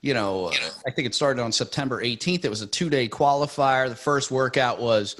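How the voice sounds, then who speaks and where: A man's voice: medium pitch, moderate pace, fairly bright tone. A man hosting a casual podcast chat, talking loosely with many fillers and frequent laughs. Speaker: podcast host.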